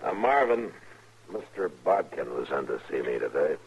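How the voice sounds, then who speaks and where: An actor playing a stoic, watchful, world-weary frontier marshal with an American accent and a terse, grave manner, in a 1950s radio drama. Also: male voice, rumbling low, very animated, normal speed, full character voice. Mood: grave, probing. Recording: noisy, dull old radio recording.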